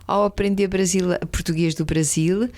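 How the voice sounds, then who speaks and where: A woman's voice: on the low side, steady tone, normal speed, darkish tone. A woman interviewing a guest author on the radio, prompting her and finishing her sentences.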